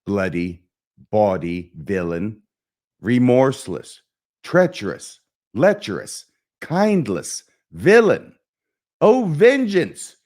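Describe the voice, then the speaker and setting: Man performing an anguished, low-voiced Shakespearean prince, wildly swinging pitch. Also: big pitch swings, darkish tone. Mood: raging. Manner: declamatory, brooding, emphatic with dramatic pauses. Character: anguished young prince, self-loathing, melancholy, bent on revenge. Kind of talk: theatrical Shakespearean stage soliloquy.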